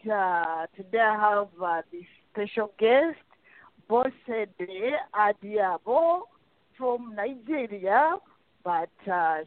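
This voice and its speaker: A woman talk show host, a native Rutooro speaker, chatting slowly with her guest and laughing often. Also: high voice, no echo. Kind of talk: warm-paced interview chat. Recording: tinny, phone-like and dry.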